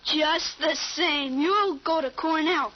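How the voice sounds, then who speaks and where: A woman's voice: sits high, takes it slowly. A woman playing a bookish, preoccupied thirteen-year-old boy in an old-time radio drama. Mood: earnest. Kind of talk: 1940s radio acting. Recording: noisy muffled old radio recording.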